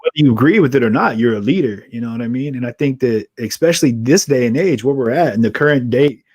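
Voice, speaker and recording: A man's voice: medium pitch, fast, a little mellow, husky, dry recording. Dry-voiced man hosting a casual interview. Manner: rambling, earnest, trails off and restarts. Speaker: podcast host. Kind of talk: conversational interview.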